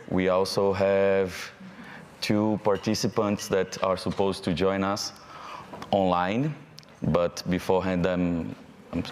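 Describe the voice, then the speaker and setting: Brazilian guy hosting a panel in a hall, really low voice, big reverb, kinda halting. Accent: Brazilian Portuguese. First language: Portuguese. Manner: friendly, halting, with fillers and restarts. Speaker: panel moderator. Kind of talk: live panel moderation. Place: event hall. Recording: hall reverb from a live event.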